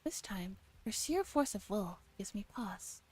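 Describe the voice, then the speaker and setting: Mellow woman streamer, soft ASMR read-aloud. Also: mid-range voice, expressive, measured pace, husky. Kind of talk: relaxed ASMR visual novel read-aloud. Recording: music behind the voice. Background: soft background music.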